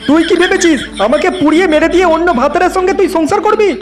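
Man doing a high-pitched grumpy old cartoon husband. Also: very fast, very noisy. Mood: pained, furious. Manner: scolding, loud complaining. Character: grumpy, suspicious old husband, quarrelsome and crude. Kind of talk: cartoon comedy dialogue.

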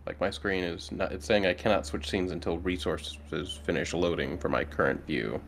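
A man's voice: sits low, normal speed, vocal fry, fairly bright tone. A man chatting casually as a player in an online tabletop game. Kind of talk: casual chat. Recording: faint background noise.

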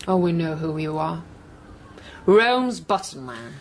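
A woman's voice: sits low, big pitch swings, takes it slowly, a little mellow, slight background noise, heavily acted. An actress playing a defiant, sardonic woman scientist in a radio drama, challenging and resentful in a naturalistic way. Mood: bitter, accusing.

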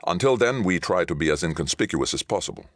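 A man's voice: sits low, lively intonation, fast, a bit bright, no room sound, clean studio sound, pitched above the performer's own voice. A man voicing a tense starship captain with a clipped military delivery in an audiobook. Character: tense, terse male starship captain under pressure. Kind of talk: audiobook character dialogue.

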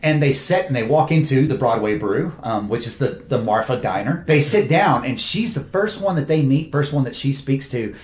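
A man, a film director, talks through his cast with eager, animated enthusiasm. His voice sits at a middle pitch and carries a roomy echo. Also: moderate pace, few pauses. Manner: enthusiastic, animated storytelling with quoted asides. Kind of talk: casual interview talk.

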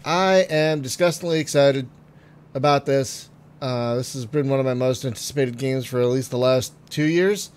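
A man's voice: mid-range voice, normal speed, clear, resonant tone. Phlegmy man chatting through a game. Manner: chatty, frequent ums and uhs, trailing off quietly. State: phlegmy, getting over a cold. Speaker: gaming video host. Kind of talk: casual let's-play commentary.

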